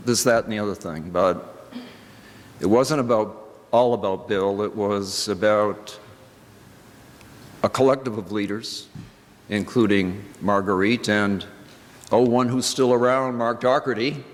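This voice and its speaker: An older man speaking as a minister in a reflective church address, with deliberate, halting phrasing and pauses for emphasis. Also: mid-range voice. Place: large indoor church sanctuary. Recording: reverberant church hall with background noise.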